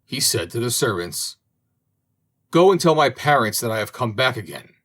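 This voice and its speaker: A man reading an old fairy tale aloud as an audiobook narrator, in a slow, expressive manner. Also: medium pitch. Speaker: audiobook reader. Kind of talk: storybook narration.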